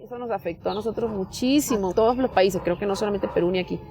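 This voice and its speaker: Fast-talking Venezuelan woman. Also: mid-range voice, a crowd in the background. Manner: animated, acts out quoted dialogue. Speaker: interviewee. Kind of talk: personal testimony.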